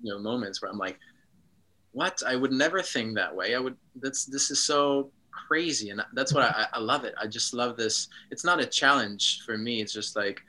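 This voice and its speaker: A man from Switzerland, a guest in a casual interview, speaking reflectively with frequent pauses and ums. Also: medium pitch, sharp tone, low-quality recording.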